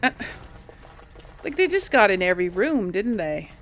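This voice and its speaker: A woman's voice: mid-range voice, very animated, moderate pace, a bit bright, a little hiss or hum. A woman giving casual gameplay commentary, thinking aloud to viewers and often trailing off. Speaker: let's play host.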